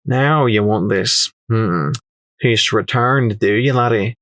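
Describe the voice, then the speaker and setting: A man's voice: mid-range voice, normal speed, a bit bright, no echo, natural voice. A shrewd pony merchant from a storybook, acted out by a male narrator, talks down to whoever is listening. The delivery is sly, airy and sneering, recorded dry with no room sound. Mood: haggling, smug. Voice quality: flighty, airy. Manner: sneering, condescending, calling others laddie.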